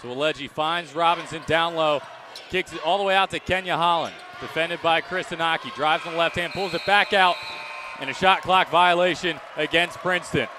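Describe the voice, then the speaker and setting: A man calling live college games as a radio play-by-play announcer, energetic and projecting, often raising his voice. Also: fairly high pitch, normal speed. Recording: clear live sports venue background noise.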